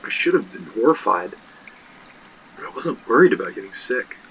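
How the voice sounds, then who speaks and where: A man's voice: on the low side, big pitch swings, moderate pace, fairly bright tone, a little hiss or hum, low-quality recording. An actor playing a haunted young man, narrating an eerie horror audio drama in a brooding, confessional way. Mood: entranced, hushed.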